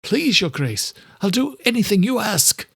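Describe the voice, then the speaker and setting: A man's voice: sits high, very animated, a little slow, vocal fry, fairly bright tone, breathy edge, no echo, studio quality, voice pushed up, exaggerated voice. A man voicing a desperate male vampire noble who pleads frantically for help. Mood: pleading.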